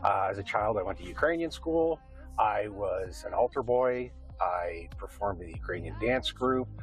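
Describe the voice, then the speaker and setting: A man's voice: lowish voice, animated, normal speed, faint music behind, poor audio. A middle-aged man with a Canadian accent, answering interview questions in an earnest, rambling conversational way.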